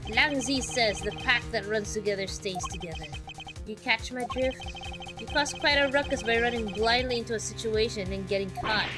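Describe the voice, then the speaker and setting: A woman's voice: fairly high pitch, normal speed, over music, close to an everyday voice. A woman voicing a cocky, menacing male international police agent from a video game, with a smug manner. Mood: smug, taunting.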